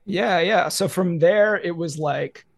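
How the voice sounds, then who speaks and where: Rambling young man, fairly high voice. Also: slow pace, creaky, dry recording, clean studio sound. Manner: rambling, heavy use of "like". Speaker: guest. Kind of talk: casual conversation.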